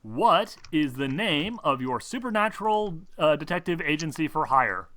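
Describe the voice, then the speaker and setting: A man acting as game master on a casual role-playing stream, explaining haltingly and playfully scolding the players. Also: on the high side, big pitch swings, sharp tone, dry recording.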